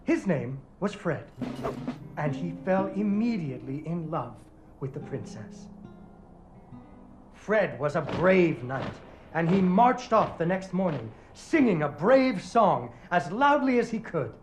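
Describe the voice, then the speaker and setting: An actor playing a playful father in a video game, telling his daughter a fairy tale in an expressive storyteller's delivery. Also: fairly high pitch, slow pace. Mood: playful storytelling. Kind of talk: animated bedtime-story narration in a video game. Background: guitar music.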